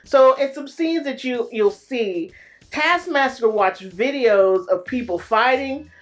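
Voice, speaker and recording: A woman recapping a movie in a casual, animated way, full of slang and playful exclamations. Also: fairly high pitch, slow. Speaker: movie reviewer.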